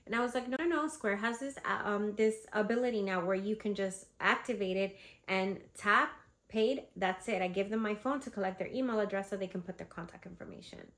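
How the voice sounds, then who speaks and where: Female vlogger with a head cold, congested and chatty, middle-pitched voice. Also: brisk. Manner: chatty, animated, lots of fillers. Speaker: vlogger and candle seller. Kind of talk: casual advice vlog.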